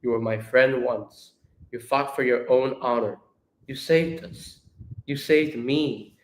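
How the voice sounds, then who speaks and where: A man voicing a brave, tender woman with a soft, earnest, pleading manner, in an echoey room. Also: medium pitch, slow pace, lightly acted. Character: brave, tender female human, pleading with a friend.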